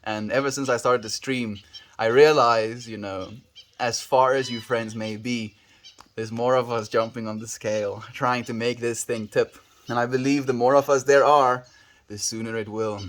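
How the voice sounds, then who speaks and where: A man's voice: mid-range voice, fairly even intonation, moderate pace. Laid-back South African man chatting. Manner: easygoing, calls viewers dude and bro, trails off mid-sentence. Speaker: livestreamer. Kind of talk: casual livestream chat answering viewers.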